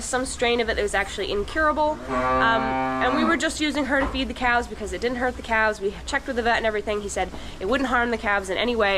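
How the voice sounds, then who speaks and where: A woman who works on a dairy farm, talking casually to viewers with a stuffy, congested voice from a cold. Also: a little high. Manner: chatty, talks straight to viewers. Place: dairy barn, with calves and cows. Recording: noisy barn recording.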